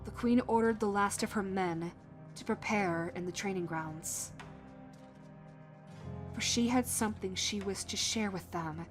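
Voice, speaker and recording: A woman giving solemn, deliberate dramatic narration of a fantasy story, with weighty pauses. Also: medium pitch. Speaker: narrator and voice actor. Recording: prominent music under the voice. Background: music.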